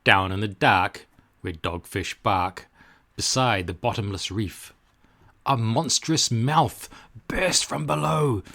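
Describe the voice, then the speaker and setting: A man reading a children's rhyming storybook aloud in rhythmic, expressive narration. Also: on the low side, slow, gravelly. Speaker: storybook reader.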